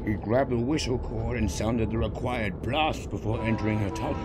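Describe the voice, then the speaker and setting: A man narrates a story in a low voice, reading from a script. There is clear background noise, with the sound of a train behind him. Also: normal speed. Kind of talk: scripted narration of fan fiction.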